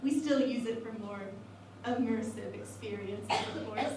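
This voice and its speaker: A woman's voice: a little high, unhurried, natural voice. A woman playing an earnest, wry psychic starship officer, matter-of-fact, in a parody sci-fi radio play. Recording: very echoey, far from mic, noisy.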